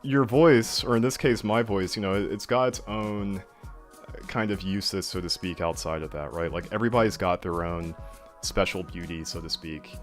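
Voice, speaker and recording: A man with a deeper than average voice, chatting as a guest and telling lively stories full of fillers. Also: normal speed, faint music behind. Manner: animated storyteller, filler-heavy, laughs easily. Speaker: guest artist. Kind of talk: casual video call chat.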